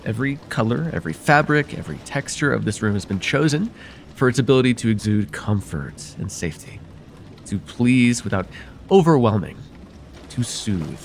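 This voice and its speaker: A man playing a courteous nocturnal vampire therapist in an ASMR roleplay, speaking formally and gently to the listener in a soothing, slightly hesitant way. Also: mid-range voice, lively intonation, moderate pace, gravelly, slight background noise. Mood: soothing, attentive.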